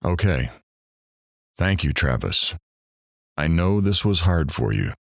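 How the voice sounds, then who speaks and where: Very deep-voiced actor as male radio host. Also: big pitch swings, slow, gravelly, dry recording, studio quality, full character voice. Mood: reflective. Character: sympathetic male radio talk show host, philosophical. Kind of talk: audio drama radio talk show hosting.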